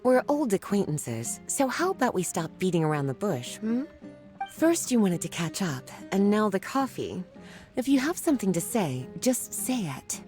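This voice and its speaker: An actress voicing a calm, lightly teasing female librarian and mage. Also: medium pitch, normal speed, faint music behind, natural voice. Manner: cool, languid, lightly teasing.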